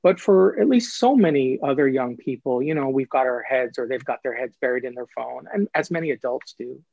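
A man's voice: medium pitch, animated, a little fast, gravelly, darkish tone, no echo, dull audio. A man speaking as an author being interviewed, explaining things in an earnest, emphatic way and repeating phrases for stress.

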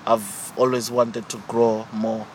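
A man's voice: fairly low pitch, slow. Young man from Zimbabwe, outdoors with traffic. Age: young adult. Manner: calm, short answers, hesitant restarts. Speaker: podcast guest. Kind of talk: casual interview answers. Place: outdoors, sitting on grass.

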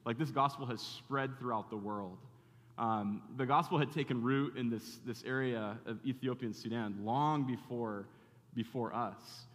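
A man's voice: mid-range voice, moderate pace, a bit bright. A man preaching in a casual, conversational way, full of fillers and restarts. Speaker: pastor. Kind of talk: sermon with casual interview. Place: church in Phoenix, Arizona. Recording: long reverb of a church hall.

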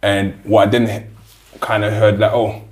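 A low-pitched man with a London accent hosts a casual interview. He asks rambling, conversational questions in a relaxed tone. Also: normal speed. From South London. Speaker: interview host.

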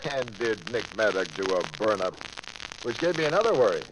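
Harried American police captain, lowish voice, firm, businesslike, slangy, crackly old radio drama. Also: male voice, big pitch swings, a little fast. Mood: harried, guarded. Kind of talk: 1940s radio crime drama acting.

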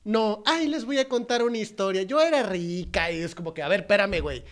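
A young man with a Mexican accent and a high-pitched voice recaps a movie in loose, vulgar banter. He mocks the characters by acting out their lines. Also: expressive, a little fast. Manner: crude, profane, mocking voices for characters. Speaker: podcast co-host. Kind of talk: casual comedic movie recap.

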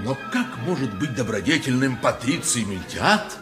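An actor playing an impassioned, indignant lawyer in an ancient court, declaiming pompously in a theatrical radio play style. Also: male voice, medium pitch, sing-song, normal speed, over music, natural voice. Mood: indignant, triumphant.

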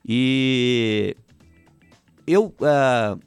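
A man's voice: mid-range voice, extremely slow, clean tone, no echo, soft background music. Chatty man with Ukrainian roots. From Paraná, Brazil. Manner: rambling, frequent restarts and "né?" tags. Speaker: filmmaker guest. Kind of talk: casual interview chat.

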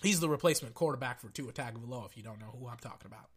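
A young man hosting a casual sports podcast, brash and excitable, talking through a sore throat as he loses his voice. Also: mid-range voice, very fast, slightly rough. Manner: brash and excitable, hypes with "ladies and gentlemen".

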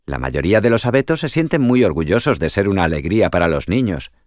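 A man's voice: deep voice, very animated, fast, no room sound, clean studio sound. A man voicing a curious little rabbit in a storybook, mild and inquisitive. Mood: surprised.

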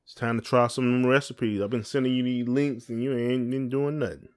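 Man, Southern American accent, mid pitch, casual podcast co-host, folksy, teasing. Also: steady tone, moderate pace, a little mellow. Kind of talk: casual chat.

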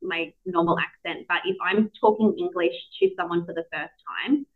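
A woman's voice: on the low side, moderate pace. Australian woman over a tinny line. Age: 30s. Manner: chatty, animated, laughs a lot. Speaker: podcast host. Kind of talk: casual chat. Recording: tinny phone-like call audio.

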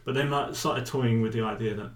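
A man's voice: medium pitch, steady tone, a little fast, reverberant, slight background noise. An English man who performs spoken word, talking in a rambling, hesitant way with many restarts, in a casual interview. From England.

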